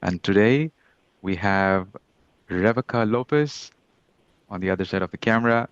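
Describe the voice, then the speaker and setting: Deep-voiced man hosting a podcast. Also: moderate pace, clean tone, dry recording, lo-fi sound. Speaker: podcast host. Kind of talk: casual interview.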